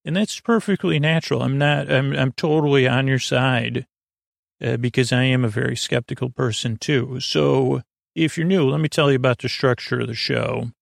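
Male podcast host, gravelly fry, dry close sound, halting whimsical bedtime rambler. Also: mid-range voice, steady tone, no room sound. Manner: meandering, halting, stammering with trailing tangents; whimsical, mock-earnest musing.

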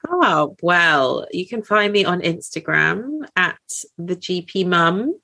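A woman's voice: fairly low pitch, expressive, slow pace, gravelly, sharp tone. A woman in her thirties, a podcast guest chatting casually, bubbly and enthusiastic. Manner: bubbly, enthusiastic, laughs often. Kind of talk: casual chat.

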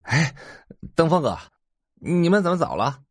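An actor playing brash, rich young playboy men in an audio drama. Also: male voice, a little high, very animated, unhurried, no room sound, studio quality. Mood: urgent.

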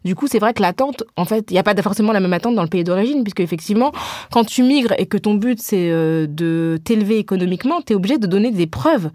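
A woman hosting a podcast, talking in an animated, conversational way. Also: mid-range voice, quick pace, no echo, studio quality. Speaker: podcast host.

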